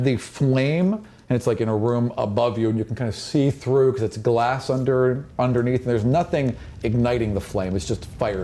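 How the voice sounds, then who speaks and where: A man with a relaxed, mellow voice at a middle pitch acts as game master. He describes fantasy scenes in a conversational, improvised way, circling back over phrases as he explains.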